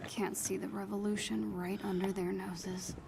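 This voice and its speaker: A woman playing an excitable, geeky teenage girl who casually mutters to herself in a video game. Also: mid-range voice, normal speed, gravelly, background noise, lightly acted. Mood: focused muttering. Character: excitable teenage girl hacker and superhero fan.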